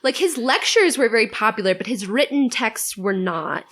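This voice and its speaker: A woman's voice: on the high side, lively intonation, normal speed, a bit bright. A woman speaking as a podcast guest in a casual, opinionated history chat. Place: in person around a table.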